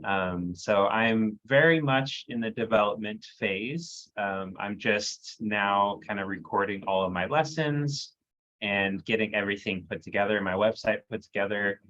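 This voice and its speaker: A man with a lowish voice introduces himself on a video call, relaxed and full of pauses and fillers. The sound is dry, close and somewhat muffled, as heard over a video call. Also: no room sound. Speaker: workshop participant.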